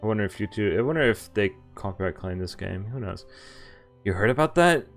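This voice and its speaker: A man's voice: on the low side, expressive, a little fast, faint music behind. A man streaming a visual novel, reading its dialogue and narration aloud and breaking off with casual, laughing asides. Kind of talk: game playthrough, reading lines aloud with commentary.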